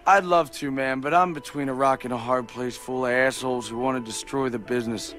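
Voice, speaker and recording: An actor playing a slangy, eager young shopkeeper in a video game. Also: male voice, medium pitch, steady tone, fairly quick, fairly bright tone, soft background music, close to an everyday voice. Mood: worried. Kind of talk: video game character acting.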